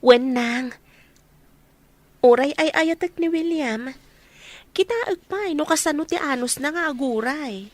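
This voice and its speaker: A woman playing a gentle, lovestruck young daughter in an Ilocano radio drama. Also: high voice, a little slow, long pauses, no echo. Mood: happy, lovestruck.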